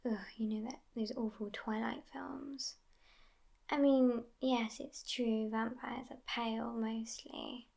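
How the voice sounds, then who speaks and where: A woman playing a sassy, aloof, youthful but centuries-old vampire girlfriend in a soft, near-whispered ASMR roleplay. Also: on the high side, flat delivery, takes it slowly, vocal fry, heavily acted. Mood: languid, sassy. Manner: languid, aloof, hushed, often near whispering.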